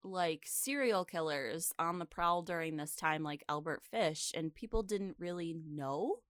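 A woman's voice: lowish voice, a little slow, a bit bright, dry recording, clean studio sound. A woman co-hosting a podcast, chatting casually and reflectively, leaning on her words for emphasis. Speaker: podcast co-host.

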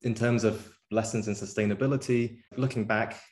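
A young man from South Korea speaks as a podcast guest in a fairly low voice. He answers in a relaxed, thoughtful way. He pauses often with ums and restarts. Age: young adult. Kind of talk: casual interview answers.

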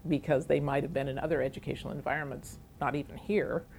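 A woman educator speaking earnestly and in a measured way in an interview about higher education. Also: fairly even intonation, creaky, darkish tone, a little hiss or hum. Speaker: educator guest. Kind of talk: conversational interview.

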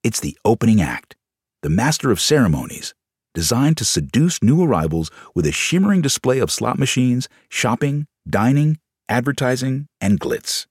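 A man's voice: medium pitch, big pitch swings, normal speed, husky, no echo, clean studio sound. A man reading scripted nonfiction as an audiobook narrator, with a dramatic, showman-like delivery.

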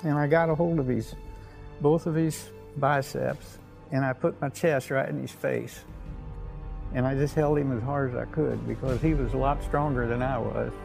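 An elderly man with an American accent, a war veteran telling his memories in a plain-spoken, halting way. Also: medium pitch, fairly even intonation, creaky, over music, low-quality recording. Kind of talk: unscripted reminiscence.

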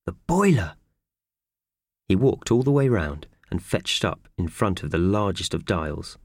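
A man narrating a children's audiobook in an expressive, scripted storytelling style. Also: sits low, moderate pace, no echo, studio quality. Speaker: audiobook reader.

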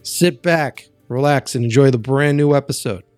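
A man's voice: mid-range voice, moderate pace, loud music behind the voice. A man from the US East Coast hosting a podcast, chatty and joking, swearing casually. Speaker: podcast host. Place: Los Angeles, remote call.

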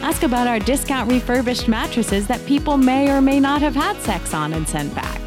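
A woman's voice: high-pitched, lively intonation, moderate pace. A woman announcer reading a scripted parody sponsor ad for a podcast. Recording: music bed behind the voice.

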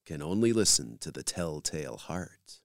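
A man hosting a podcast, reading scripted links in a measured, announcer-like way. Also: a bass voice, big pitch swings, no echo, clean studio sound. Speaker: podcast host. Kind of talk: scripted podcast host narration.

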